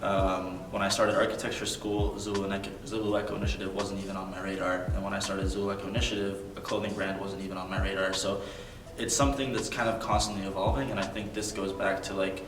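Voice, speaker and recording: A man's voice: sits low, little pitch movement, quick pace, few pauses, reverberant, far from the mic, over music. A young man speaking as an interview guest, earnest and thoughtful, with many ums and likes. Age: young adult. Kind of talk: reflective interview talk.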